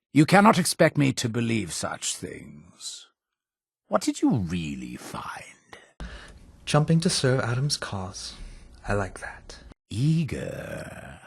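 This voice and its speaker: Gravelly, menacing cult leader. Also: male voice, lowish voice, dry recording, studio quality, heavily acted. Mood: stern suspicion. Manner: solemn, measured, preacher-like formality. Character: stern, suspicious male cult leader, fatherly yet menacing. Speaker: voice actor in a video game. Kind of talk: scripted video game dialogue.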